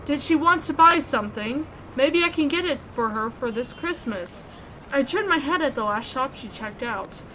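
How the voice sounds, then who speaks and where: A woman narrating a horror visual novel in the first person, reading with expressive, suspenseful delivery. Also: high-pitched, normal speed, slight background noise, low-quality recording.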